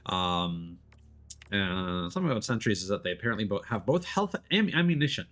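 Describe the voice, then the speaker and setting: A man's voice: fairly low pitch, lively intonation, a little fast, a bit bright. A man streaming a video game, giving casual, rambling, informal commentary as he explains how it works. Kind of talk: casual let's-play commentary.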